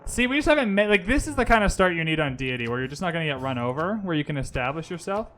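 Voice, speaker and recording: A man with a bright, forceful voice pitched a little high talks through a strategy game in a live stream. He thinks his moves aloud in a loose, chatty way and breaks off to answer viewers. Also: sing-song, fast, slight background noise. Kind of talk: casual gaming commentary. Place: lives in Vancouver.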